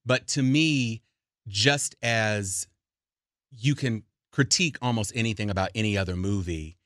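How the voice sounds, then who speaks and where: A man's voice: on the low side, measured pace, a bit bright, no room sound, clean studio sound. A man co-hosting a podcast, talking enthusiastically in long run-on sentences. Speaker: podcast co-host. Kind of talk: casual podcast chat.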